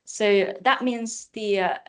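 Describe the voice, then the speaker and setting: A woman's voice: mid-range voice, takes it slowly, fairly bright tone, smooth. Hesitant woman speaker answering questions. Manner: hesitant, repeats herself. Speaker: conference speaker.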